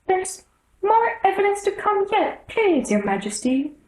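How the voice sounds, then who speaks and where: A woman's voice: very high voice, sing-song, measured pace, a little mellow, reverberant, voice pushed up, heavily acted, rough recording. A woman voicing a nervous, deferential male rabbit courtier in a storybook reading, in a fussy, sing-song manner. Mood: anxious, eager.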